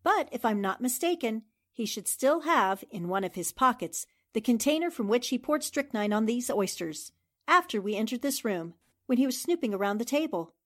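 A woman acting a sharp, confident newspaper reporter in a 1940s-style radio drama, speaking in a crisp, assured way. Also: on the high side, expressive, normal speed. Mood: confident deduction. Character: sharp, confident female newspaper reporter turned amateur sleuth.

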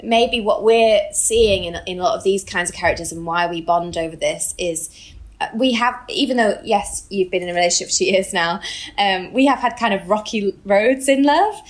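A woman's voice: medium pitch, normal speed. A woman in her thirties talking candidly and emphatically about books as a podcast co-host, in a casual, chatty way. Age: 30s. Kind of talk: casual book discussion.

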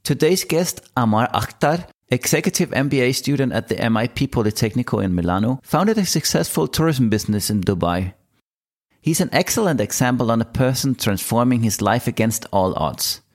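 A man hosting an interview show, speaking in a measured way and giving a motivational introduction. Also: medium pitch, lively intonation. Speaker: podcast host.

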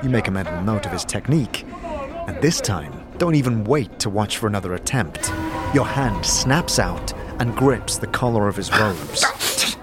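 A man's voice: on the low side, animated, normal speed, music in the background. A man narrating a scripted fantasy story as a storyteller, addressing the listener directly.

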